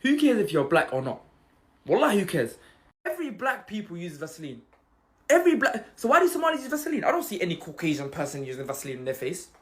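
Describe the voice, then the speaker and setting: A man in an animated comic rant, his voice jumping up when he mocks others. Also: sits high, quick pace. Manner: lively, voice jumps up when mocking others.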